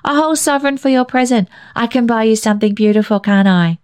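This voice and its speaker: A woman's voice: a little high, quick pace, darkish tone, clear, resonant tone, pitched above the performer's own voice, natural voice. A woman voicing an eager little boy talking to his grandfather in a storybook reading.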